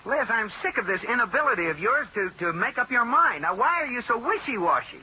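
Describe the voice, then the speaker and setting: Sharp, animated American fed-up radio husband, scolding, indignant, emphatic, dull vintage sound. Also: male voice, extremely high pitch, a little fast, husky, heavily acted. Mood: stern. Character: exasperated, scolding husband of a flighty wife. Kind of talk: 1940s-50s radio sitcom acting. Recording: muffled old-time radio recording, faint noise.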